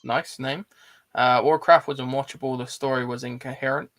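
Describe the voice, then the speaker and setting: A man's voice: medium pitch, steady tone, normal speed, a bit bright. A man, hosting a casual film chat and reading out live comments in an informal, blokey way. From Bristol, England. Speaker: host of a film discussion livestream.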